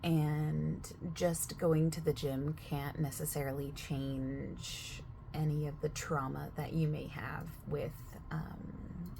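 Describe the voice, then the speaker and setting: A woman talks quietly and at length, overexplaining like a gym clerk in an ASMR roleplay. Her voice sits low with plenty of vocal fry, and she keeps drifting from soft speech into whispers. A faint hiss runs underneath. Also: level tone, slow pace. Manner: soft-spoken, slipping into whispers mid-sentence; chatty, overexplaining. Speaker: gym staff member in a roleplay.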